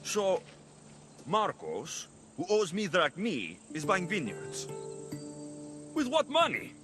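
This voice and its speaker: An actor playing a cocky young mercenary, talking bluntly and sardonically in a video game cutscene. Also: male voice, sits high, huge pitch swings, slow pace, music in the background, heavily acted. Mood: wry, incredulous.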